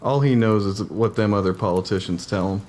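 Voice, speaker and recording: A man's voice: fairly low pitch, a little fast, a little mellow, slight background noise, natural voice. A man playing a skeptical cavalry private in a historical drama, speaking in plain, folksy frontier language.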